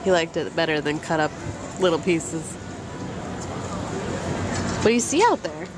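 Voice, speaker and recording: A young mother talks slowly to the camera, then jumps into a high, sing-song baby-talk voice for her toddler. Her pitch swings widely, and the handheld camera mic catches loud airport noise and announcements behind her. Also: expressive. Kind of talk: casual home video narration. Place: busy airport gate area, indoors.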